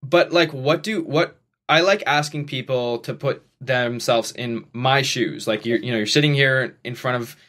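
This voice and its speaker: A man's voice: mid-range voice, fairly bright tone. Young man, podcast host, home studio mic, casual chat, frequent restarts. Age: 20s. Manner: quick, rambling, many restarts. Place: bedroom at home. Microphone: studio mic on boom arm, headphones.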